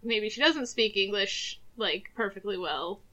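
A woman's voice: medium pitch, expressive, measured pace, bright, forceful tone, lo-fi sound. A woman co-hosting a casual podcast chat about movies, rambling and filling her sentences with fillers. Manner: rambling, heavy use of filler words. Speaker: podcast co-host.

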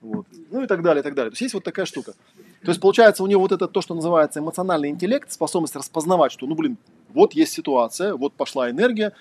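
A man's voice: a little high, expressive, racing, a little mellow. A man giving a casual lecture, talking conversationally with lots of fillers and rhetorical questions.

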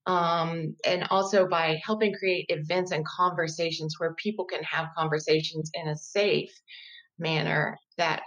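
A woman in her fifties, a guest in an interview, explaining her work as a diversity educator in a measured, thoughtful way with occasional restarts. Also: low-pitched, a bit bright, low-quality recording. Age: 50s. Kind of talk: conversational interview.

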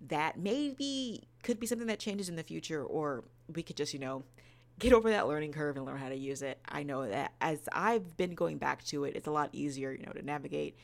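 Female podcast co-host, notably low voice, casual reviewing. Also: lively intonation, brisk, vocal fry.

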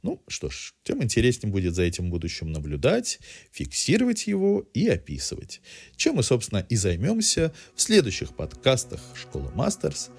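A man's voice: mid-range voice, huge pitch swings, normal speed, creaky, darkish tone, breathy edge, faint music behind. A man hosting a podcast, delivering a semi-scripted cultural review in erudite, literary phrasing.

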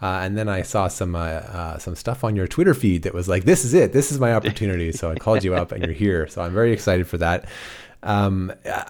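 A man's voice: low voice, a little fast, a little mellow. A man hosting a podcast, reading a scripted intro and then chatting eagerly with a guest. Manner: eager, with many fillers and restarts in chat. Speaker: podcast host. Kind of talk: scripted intro, then casual interview chat.

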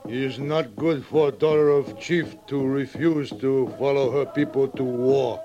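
A man's voice: mid-range voice, unhurried, muffled recording, crowd noise, lightly acted. An actor playing a stern, proud Sioux man in an old radio drama, solemn and speaking stilted broken English. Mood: stern, grave. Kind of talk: 1950s radio drama acting.

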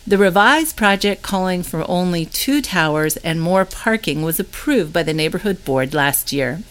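Woman w a slightly low voice reading out local news from a script, newsletter style narration. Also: animated, moderate pace. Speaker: newsletter reader.

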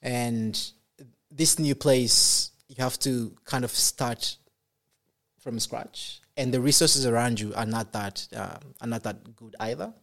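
Soft-spoken halting young man, from Congo. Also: medium pitch, creaky. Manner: soft-spoken, halting with many fillers and restarts. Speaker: podcast guest. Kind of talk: casual interview chat.

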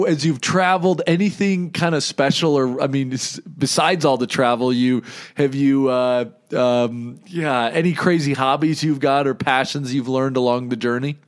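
Rambling male podcast host. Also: mid-range voice. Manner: rambling, filler-heavy questions. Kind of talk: casual interview chat.

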